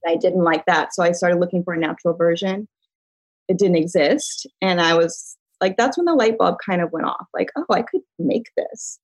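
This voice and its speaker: A woman's voice: on the low side, moderate pace, no echo, poor audio. A middle-aged woman from the US Midwest, chatting casually as an interview guest, telling stories with lots of laughs and fillers. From Chicago, US Midwest. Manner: chatty storyteller, laughing often, filler-heavy. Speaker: beauty brand founder, guest.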